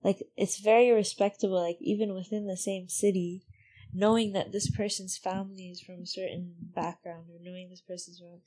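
A woman from Saudi Arabia talking in a casual conversation, explaining her views at length with soft, trailing asides. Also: mid-range voice, little pitch movement, a little fast, rough recording.

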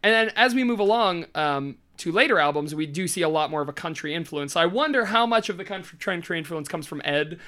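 A woman's voice: on the low side, lively intonation, fast talker, hardly any pauses, a bit bright. A young adult woman hosting a casual music podcast, chatty and joking, laughing often. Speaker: podcast host. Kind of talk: casual chat about music.

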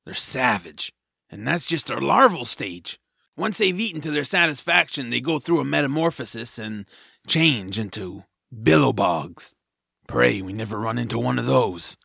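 A man playing a gruff, folksy and wary woodland companion in a family audio drama, telling things in a colloquial, dramatic way. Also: medium pitch, animated, unhurried, a bit bright, no room sound, clean studio sound, heavily acted. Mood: ominous.

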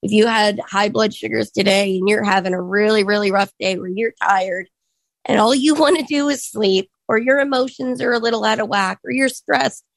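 A hoarse woman in her thirties sharing her personal story in an earnest, reflective way, pausing often with ums. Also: mid-range voice, no room sound, rough recording. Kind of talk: personal testimony talk.

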